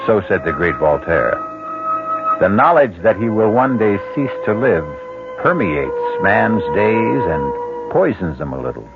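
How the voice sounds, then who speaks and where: A man hosting a radio mystery drama as a wry, philosophical narrator, musing and deliberate in his delivery. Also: lowish voice, sing-song, creaky, exaggerated voice. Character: wry, philosophical male ghost-story host. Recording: muffled old radio broadcast over music.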